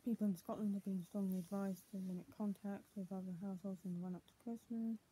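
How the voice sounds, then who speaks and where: A young woman vlogger with a husky, muffled voice, speaking at home into a tablet. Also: mid-range voice, steady tone, quick pace, warm tone. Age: young adult. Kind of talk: casual home vlog, partly reading aloud. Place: small room at home, indoors. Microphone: tablet built-in mic at arm's length.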